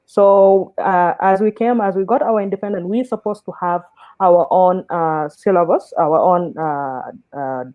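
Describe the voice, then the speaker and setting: Female panel guest from South Sudan, halting explanatory delivery, dry tinny call line. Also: fairly low pitch, dry recording. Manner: halting, searches for words. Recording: thin, tinny phone-like call audio.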